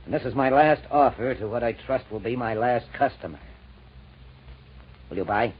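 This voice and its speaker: Slick, courteous racketeer, male actor, mid-pitched, veiled menace, vintage radio drama, lo-fi. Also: moderate pace, slightly rough, natural voice. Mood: smooth, firm. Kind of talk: 1940s radio acting. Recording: muffled, noisy old radio broadcast.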